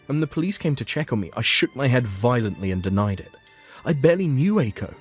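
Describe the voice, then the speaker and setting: A man's voice: medium pitch, sing-song, quick pace. A man reading scripted first-person story narration for a manga dub, as the narrator and lead character. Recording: music behind the voice.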